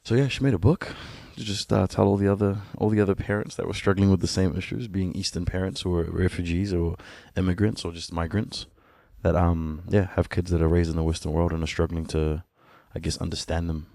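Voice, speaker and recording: Deep gravelly nz-guy in his 30s, laid back, talks easy and laughs a lot, dry close mic. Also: brisk, no echo. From born in Sudan, raised in New Zealand. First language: an Ethiopian language. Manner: relaxed, candid, laughing often. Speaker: musician guest. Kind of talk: casual podcast conversation.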